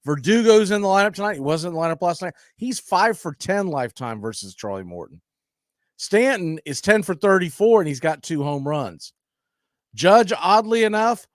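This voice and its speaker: A man with a slightly high voice hosts a baseball pregame show. He talks stats and matchups in a folksy, conversational way. The sound is dry, with no room echo. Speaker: sports radio host.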